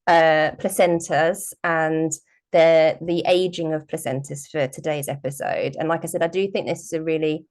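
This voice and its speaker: A woman's voice: low voice, fairly even intonation, moderate pace, a little mellow. A woman hosting a podcast, chatting enthusiastically with her guest. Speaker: podcast host. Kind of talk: conversational interview.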